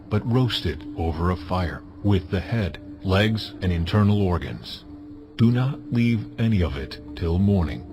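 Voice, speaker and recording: A man playing God in a dramatized audio Bible, solemn and authoritative as he delivers measured commands. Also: low-pitched. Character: God, solemn commanding male deity giving laws. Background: music.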